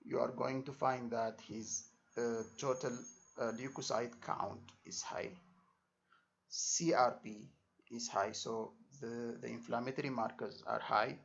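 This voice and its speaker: Man tutoring, creaky voice, dull recording. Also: medium pitch, fairly even intonation, a little slow, a bit bright. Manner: repetitive, checks understanding with short tags. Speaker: exam tutor. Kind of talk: informal spoken lesson. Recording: dull lo-fi microphone sound.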